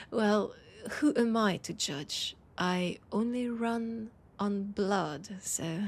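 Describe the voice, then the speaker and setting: A woman with a French accent playing a poised, teasing vampire and wary old rival in an intimate audio roleplay, slipping into near-whispers. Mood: playful, wry. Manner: slow, low-key teasing with breathy, near-whispered phrases.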